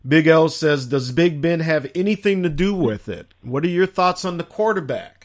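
A man with a raspy voice hosting a casual sports chat show, chatty and animated as he asks questions. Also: on the high side, moderate pace. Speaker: host.

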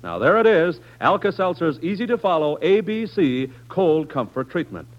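Lively American radio announcer, muffled recording. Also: male voice, moderate pace. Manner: earnest, emphatic sales pitch. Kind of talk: 1940s radio ad reading, scripted.

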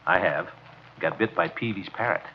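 A man's voice: low-pitched, moderate pace, a little hiss or hum, close to an everyday voice. An actor playing a pompous, flustered club man who blusters and hems and haws in an old-time radio comedy. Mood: self-important. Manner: blustering, hemming and hawing. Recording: muffled vintage radio recording.

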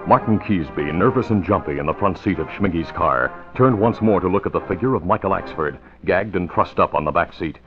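Deep-voiced man narrating old radio drama. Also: animated, a little fast, few pauses, music in the background. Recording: muffled old radio recording.

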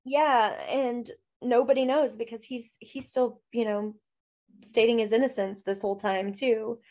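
A woman's voice: fairly high pitch, fairly even intonation, unhurried, a little mellow. A woman co-hosting a true-crime podcast, chatting casually with a stuffy nose, rambling with lots of fillers and laughs. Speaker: podcast co-host.

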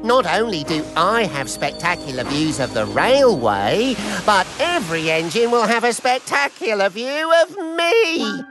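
A man's voice: high voice, big pitch swings, a little slow, few pauses, music in the background, voice pushed up. A man voicing a vain, boastful male engine who speaks boldly. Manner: bold and boastful.

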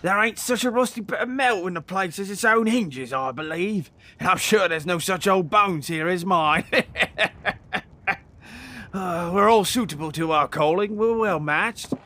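A man's voice: high voice, normal speed, sharp tone. A man playing a sly, jocular, miserly old junk dealer in an audio drama. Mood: jocular, welcoming. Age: older.

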